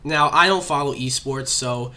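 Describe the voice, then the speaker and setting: A man's voice: medium pitch, moderate pace, bright, forceful tone. A young man hosting a casual podcast chat, rambling with lots of fillers and nursing a cough. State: has a cough. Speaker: podcast host.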